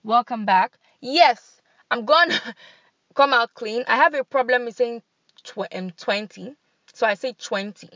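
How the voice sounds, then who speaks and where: A young woman with a Nigerian accent hosting a podcast in casual, animated chat. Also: a little high, unhurried, no echo, muffled recording, faint music behind. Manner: chatty, animated, laughs and switches into pidgin. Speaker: podcast host.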